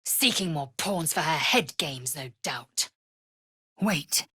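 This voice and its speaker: An actress voicing a fierce, haughty alien warrior woman in a video game, with a husky voice and an imperious, clipped, disdainful manner. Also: low voice, expressive, slow pace, pushed and bright, no room sound, clean studio sound, full character voice. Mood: solemn. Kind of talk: video game character voice lines.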